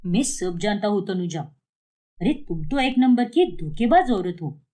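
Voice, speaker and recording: A woman's voice: mid-range voice, very animated, unhurried, warm tone. A woman voicing an indignant, heartbroken father in a cartoon, with an emphatic, scolding manner. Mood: accusing. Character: indignant, heartbroken father, adult man, accusing and forceful.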